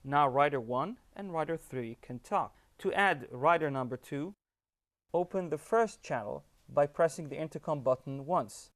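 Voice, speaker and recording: A man's voice: on the high side, animated, moderate pace. A man giving scripted step-by-step instructions as an upbeat tutorial presenter.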